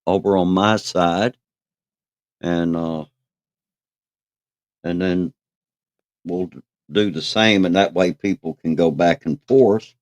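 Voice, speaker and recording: A man's voice: low voice, fairly even intonation, takes it slowly, long pauses, a little mellow, no room sound. A man co-hosting a livestream, chatting casually and encouragingly with plenty of hesitant fillers. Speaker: livestream co-host.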